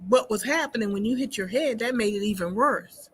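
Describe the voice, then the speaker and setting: A woman hosting a talk show, asking questions in a warm, encouraging manner. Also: a little high, a little fast, husky. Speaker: host. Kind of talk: interview conversation.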